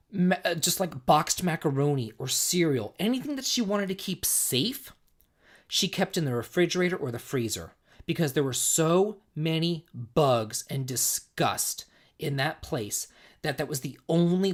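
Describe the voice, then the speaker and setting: Tipsy man rambling, lively pitch. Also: unhurried, slightly rough. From United States. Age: 40s. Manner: rambling, repeats himself, reads chat aloud. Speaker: livestream host. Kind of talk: casual livestream chat and storytelling.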